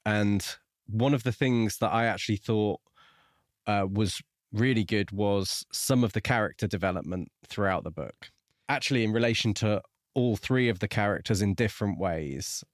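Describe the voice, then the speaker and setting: A man co-hosting a podcast, discussing a book casually in a measured, reflective and explanatory way. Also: lowish voice, no room sound, clean studio sound. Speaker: podcast co-host.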